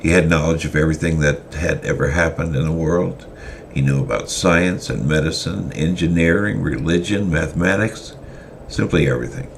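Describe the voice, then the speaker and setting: A man narrates a story in a semi-dramatic reading, often stopping on hesitant fillers as he goes. His voice sits extremely low, with a gravelly fry. A faint background noise stays under the whole recording. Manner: unhurried reading with frequent hesitations.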